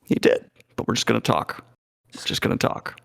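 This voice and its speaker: A man playing his character in a tabletop game with a put-on Chicago accent, talking low and threatening. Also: normal speed. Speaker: player. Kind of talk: in-character role-play.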